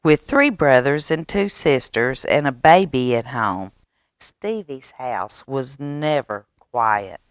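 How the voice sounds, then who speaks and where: A woman reading a picture book aloud to children in a dramatic, expressive way, drawing out words. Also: extremely low pitch, no echo, clean studio sound. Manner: dramatic, expressive, with drawn-out words and hushed asides. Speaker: library storyteller reading to children. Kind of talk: animated picture-book read-aloud with sound effects.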